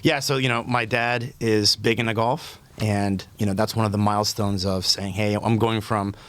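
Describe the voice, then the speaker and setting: A man's voice: lowish voice, normal speed, no room sound. A man in his thirties from Puerto Rico, a podcast guest talking casually with lots of ums and restarts. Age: 30s. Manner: relaxed, many fillers and restarts. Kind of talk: casual conversational interview.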